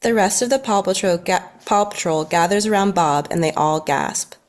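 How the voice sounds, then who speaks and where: A woman's voice: on the low side, moderate pace. A woman reading scripted narration at a table read of a story.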